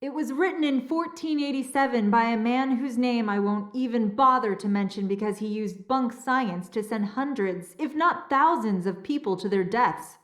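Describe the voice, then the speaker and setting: A woman's voice: on the high side, moderate pace, few pauses, echoey room, close to an everyday voice. An actress playing a scholarly, slightly flustered female castle historian in a video game, speaking earnestly and precisely. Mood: grave. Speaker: historian and relic keeper. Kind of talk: video game dialogue, expository.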